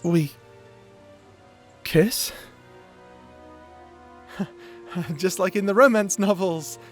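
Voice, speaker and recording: A man's voice: sits high, very slow, soft background music. A man playing a shy, flustered young man in love, stammering and hesitant in a dramatic fantasy audio roleplay. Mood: giddy, flustered.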